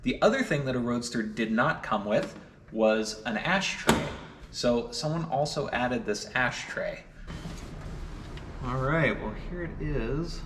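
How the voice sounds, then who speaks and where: A man's voice: mid-range voice, lively intonation, slow pace, background noise. A man narrating a hands-on vlog in an informal way, thinking aloud as he examines things. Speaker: car owner and vlogger.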